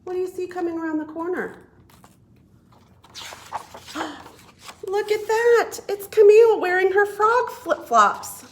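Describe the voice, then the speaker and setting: Female children's storytime reader: expressive picture-book delivery, lively melodic range, unhurried and deliberate. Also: very high voice, slight background noise. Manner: expressive, deliberate, asks listeners questions. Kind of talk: reading a picture book aloud to young children.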